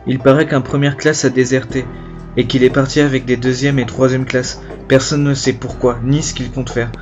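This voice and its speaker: A man's voice: medium pitch, flat delivery, normal speed, a little mellow, lo-fi sound, soft background music, natural voice. A man voicing a friendly soldier comrade, informal and chatty, in an amateur video game dub. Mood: concerned, gossipy. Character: friendly male soldier comrade passing on news.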